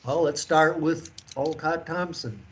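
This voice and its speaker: A man hosting a conference call meeting, speaking with deliberate, formal wording. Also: fairly high pitch, slightly rough. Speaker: meeting host. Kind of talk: semi-formal meeting moderation.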